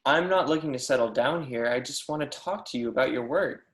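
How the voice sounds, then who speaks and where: A man's voice: mid-range voice, brisk, close to an everyday voice. A man reading an eager young professor, echoey room. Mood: pleading, fervent. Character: eager, persistent young mathematics professor pleading his case. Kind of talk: amateur readers theatre script reading.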